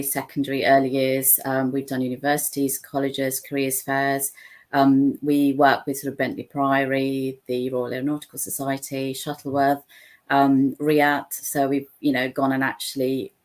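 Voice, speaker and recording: A woman's voice: very deep voice, flat delivery, moderate pace. A woman from Sri Lanka, chatting as a guest on a video call from a room at home, talking freely with many fillers and restarts. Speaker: guest, founder of an aviation charity. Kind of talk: casual video-call interview. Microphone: laptop webcam on a video call.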